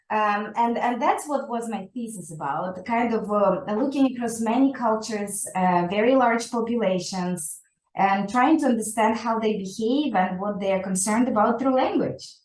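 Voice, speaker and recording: A woman's voice: a little high, reverberant, poor audio. A woman whose first language is Ukrainian, a scientist answering interview questions in a thoughtful way, with many fillers and restarts, often ending points with "right?". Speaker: guest scientist.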